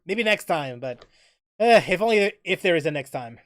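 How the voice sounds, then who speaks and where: A man hosting a livestream in casual, rambling chat, often halting to repeat and rephrase himself mid-sentence. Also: high voice, expressive. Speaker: livestream host.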